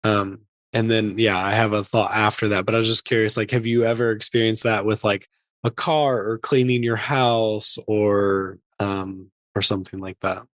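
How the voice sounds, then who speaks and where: A man co-hosting a podcast in casual chat, his voice hoarse and gravelly and cracking after an illness, rambling with many fillers. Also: fairly low pitch, level tone, moderate pace, dry recording, clean studio sound. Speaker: podcast co-host.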